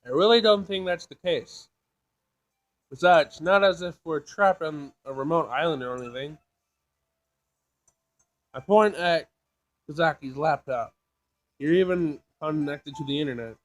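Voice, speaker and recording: Casual man with a higher-pitched voice. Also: measured pace, long pauses. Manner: informal, slangy. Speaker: gaming video host. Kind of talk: casual visual novel let's play, reading aloud.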